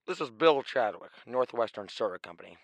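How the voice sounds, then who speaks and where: A teenage boy acts an urgent businessman in an old-time radio play. His voice is low, and he talks persuasively, like someone selling a big scheme. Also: expressive, brisk, creaky, noisy background. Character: male soda company man pitching a gold mine.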